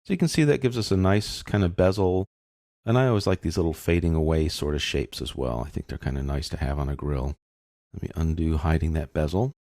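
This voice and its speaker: Deep, gravelly man teaching software. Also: fairly quick, dry recording, clean studio sound. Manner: informal, thinking aloud, explanatory. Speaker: instructor of a software tutorial. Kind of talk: step-by-step screencast narration, unscripted.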